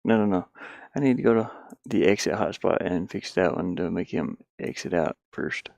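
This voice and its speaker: Guy with a low creaky voice muttering along while he builds a game, pretty offhand, dry close sound. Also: moderate pace, a little mellow, no echo. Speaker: indie game developer, streamer. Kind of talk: casual think-aloud screen-share commentary while working.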